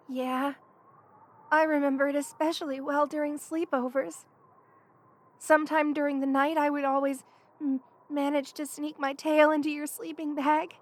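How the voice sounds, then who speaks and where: A woman voicing a young lamia best friend in an intimate ASMR roleplay, affectionate and hesitant, with a soft-hearted manner. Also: sits high, level tone, measured pace. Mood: cosy, grateful.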